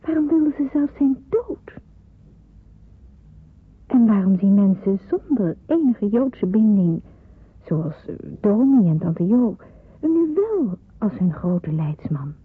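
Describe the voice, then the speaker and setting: A earnest young woman in hiding in a radio drama. Her voice is very husky and breathy, and she speaks in a hesitant, searching way. The recording is thin and phone-like. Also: medium pitch, very animated, slow pace, heavily acted. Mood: troubled, searching. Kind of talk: Dutch radio drama acting.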